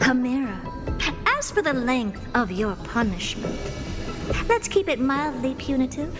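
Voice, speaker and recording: A woman's voice: high-pitched, expressive, measured pace. A woman voicing a haughty, smug genius scholar in a video game, speaking in a pompous, mocking, theatrical manner. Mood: mocking, gloating. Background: music.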